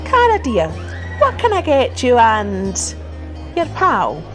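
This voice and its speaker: A high, very animated voice for a gossipy small-town woman played by an actress in a radio drama. She serves drinks and gives orders drily. Music and faint chatter sit behind her. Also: takes it slowly, heavily acted. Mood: welcoming. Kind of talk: audio drama acting.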